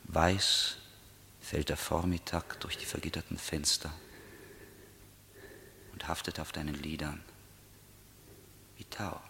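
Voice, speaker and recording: A haunting voice of resistance in a radio play. It is low and gravelly, with a sharp, forceful edge. Every phrase is declaimed solemnly, intimate and menacing at once. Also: male voice, level tone, slight background noise, full character voice. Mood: hushed, ominous. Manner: solemn, deliberate, poetic declamation. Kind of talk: intense poetic radio drama acting.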